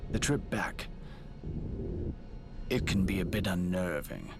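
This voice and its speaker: An actor playing a silky, calmly menacing villain in a game cutscene, coaxing in a breathy, deliberate voice. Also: male voice, low voice, over loud music. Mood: coaxing menace. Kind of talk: dramatic video game cutscene acting.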